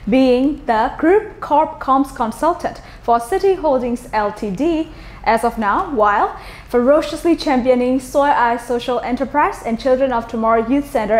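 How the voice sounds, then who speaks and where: A woman's voice: a little high, moderate pace. A woman interviewer and host speaking formally, reading out prepared introductions and questions. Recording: roomy echo with background noise.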